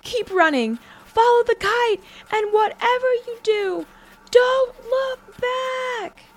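A woman voicing a frightened but quick-thinking young girl in a story, high-pitched and urgent. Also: big pitch swings, gravelly, darkish tone, slight background noise, heavily acted. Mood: panicked shouting. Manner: urgent, high-pitched, stammering under fear.

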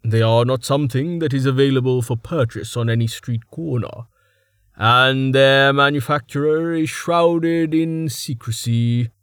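A solemn man intones sayings in a slow, grave cadence, as a male narrator takes the part. The close recording has no room echo. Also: mid-range voice, fairly bright tone, smooth, natural voice. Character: solemn, proverb-quoting man.

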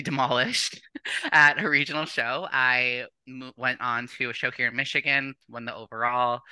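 Podcast guest: young North American woman, informal and animated, sharp bright tone, close dry sound. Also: rumbling low, clean tone, no echo. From born or raised in Canada, later the United States. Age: young adult. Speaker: podcast guest, competitive bodybuilder. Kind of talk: casual chat, personal storytelling.

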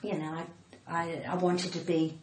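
A woman's voice: on the low side, flat delivery, normal speed. An older woman with an upper-class English accent tells personal anecdotes in conversation as an interview guest, theatrically acting out remembered dialogue. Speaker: interview guest, comedian and actress. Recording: roomy echo with faint background noise.

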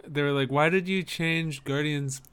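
A man's voice: a little high, fairly even intonation, normal speed, fairly bright tone, clean tone. A man co-hosting a podcast, talking in an easygoing, conversational way as he chats about films. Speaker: podcast co-host. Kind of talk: casual chat about films.

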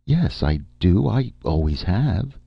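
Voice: sits low, very animated, slow, vocal fry, breathy edge, no echo, lo-fi sound, pitched above the performer's own voice, full character voice. A man voicing a naive, stubborn, devoted female film star with a simple, earnest way of talking.

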